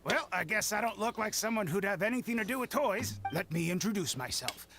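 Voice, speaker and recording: An actor playing a cheerful, polite guild branch master in a video game, speaking in an earnest, businesslike way. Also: male voice, sits high, expressive, brisk. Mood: amiable, polite. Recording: game audio with background music.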